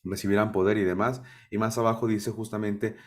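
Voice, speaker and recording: A man's voice: on the low side, little pitch movement, fairly quick. A man teaching a Bible study in sermon style, who keeps asking his listeners questions and checking in with them. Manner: asks the audience questions and checks in with "¿sí?".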